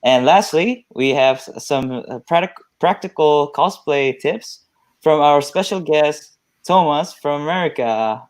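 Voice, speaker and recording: A young man in his twenties from South Korea with a Korean accent, hosting a live online event over a video call and often pausing to search for words. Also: on the high side, expressive. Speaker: event host.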